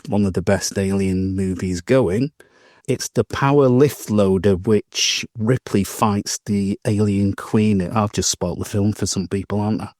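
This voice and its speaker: A man's voice: lowish voice, darkish tone, no room sound, studio quality. A man co-hosting a modelling podcast, telling shopping stories in a chatty, rambling way. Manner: chatty storytelling with laughs and restarts. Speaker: podcast co-host. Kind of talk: casual chat.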